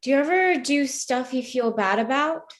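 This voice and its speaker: A woman's voice: sits high, expressive, normal speed. A woman playing a morally troubled woman who questions a cop earnestly and persistently in a live play reading. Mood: accusing.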